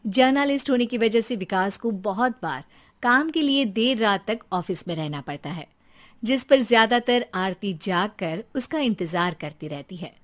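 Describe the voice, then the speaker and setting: A woman's voice: medium pitch, lively intonation, moderate pace. A woman narrating a scripted story in a dramatic storytelling style.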